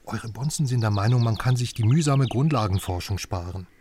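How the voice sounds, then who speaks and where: A brash, eager reporter played by a male actor in a naturalistic radio drama. He talks in a chatty, sardonic, emphatic way at a medium pitch, a little distant, with faint noise around him. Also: lively intonation, a little fast, darkish tone, close to an everyday voice. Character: brash, eager West German investigative journalist.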